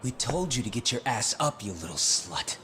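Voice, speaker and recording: Cocky teenage bully, swinging pitch. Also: male voice, sing-song, moderate pace, sharp tone, slight background noise. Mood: sneering. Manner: sneering, swearing, confrontational. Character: cocky teenage male school bully, crude and hot-tempered. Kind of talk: English video game voice acting.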